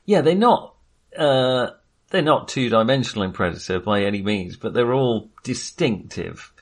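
A man's voice: mid-range voice, animated, normal speed. A man co-hosting a film podcast in casual discussion, talking in a hesitant, rambling way and often correcting himself.

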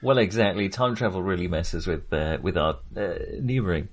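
Hesitant, lower-voiced male podcast host. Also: animated, moderate pace. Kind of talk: casual film discussion.

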